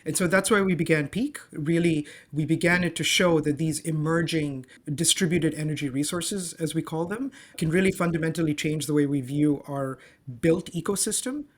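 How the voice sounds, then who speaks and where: A man from Dubai with a slightly high voice, answering interview questions over a remote call. He explains with energy, sprinkling in "um" and "you know.". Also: normal speed. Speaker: guest, company co-founder. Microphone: calling in remotely.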